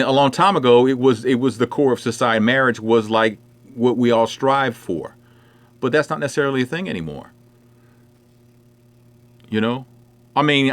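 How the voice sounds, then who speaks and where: A man's voice: medium pitch, fairly bright tone. A middle-aged man chatting casually on a livestream, answering viewer comments in a rambling way with many fillers. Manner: conversational, rambling with many "you know" fillers. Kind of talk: casual chat. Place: indoors at home.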